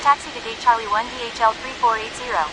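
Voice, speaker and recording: A woman's voice: on the high side, steady tone, quick pace, background noise, lightly acted. A woman playing a procedural pilot or controller in a flight game, speaking clipped aviation radio phraseology. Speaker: pilot and air traffic radio voice in a simulation game.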